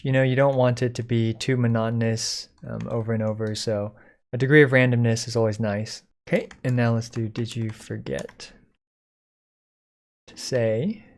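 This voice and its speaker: A man giving a casual software code-along, thinking aloud informally as he types. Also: medium pitch. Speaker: software tutorial instructor.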